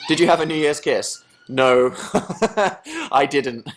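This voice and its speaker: A young Englishman hosts a relaxed live chat, answering viewers one by one. His humour is dry and sarcastic, often turned on himself. His voice sits at an ordinary middle pitch. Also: slow, slight background noise. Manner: sarcastic, deadpan self-mocking jokes. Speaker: livestream host. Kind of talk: casual live chat reading viewer comments.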